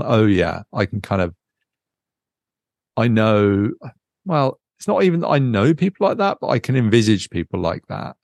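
A man's voice: mid-range voice, creaky, a little mellow, no room sound. A man chatting casually as a podcast host, thinking aloud hesitantly and drifting into asides. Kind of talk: casual unscripted book chat.

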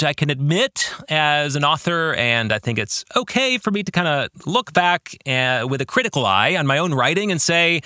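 A man hosting a podcast as an author, giving a reflective book discussion alone in a measured, deliberate way with drawn-out emphasis. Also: mid-range voice, pushed and bright, clear, resonant tone, no room sound, studio quality.

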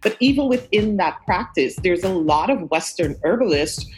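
A woman's voice: on the low side, lively intonation, moderate pace, a little mellow, a little hiss or hum, poor audio. A woman from Guyana who moved to New York as a teenager, talking in an interview with an earnest, emphatic way of explaining through repetition. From Guyana, then Brooklyn, New York from age fourteen. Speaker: guest, herbalist. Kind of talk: conversational interview.

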